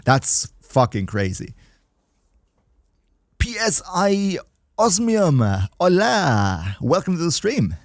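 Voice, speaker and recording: A man's voice: sits high, huge pitch swings, slow, long pauses, no echo, clean studio sound. A man chatting casually with viewers on a livestream, reading chat aloud and joking around. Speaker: streamer.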